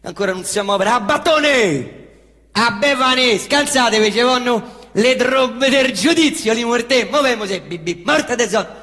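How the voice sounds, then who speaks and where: A middle-aged man performing a theatrical monologue on stage, swinging between hushed mutters and loud excited outbursts while mimicking engine noises. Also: extremely high pitch, moderate pace, long reverb tail. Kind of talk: theatrical monologue in Roman dialect.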